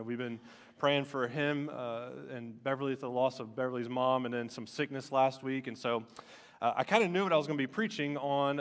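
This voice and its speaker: A man in his thirties giving a relaxed, self-deprecating sermon from a church stage, with lots of filler words. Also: mid-range voice. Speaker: student minister. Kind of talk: casual sermon. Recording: long reverb of a church hall.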